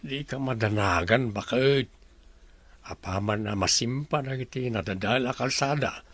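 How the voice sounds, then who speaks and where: A man with an Ilocano accent acting a calm, reassuring older husband in a radio drama. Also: medium pitch, unhurried, no echo.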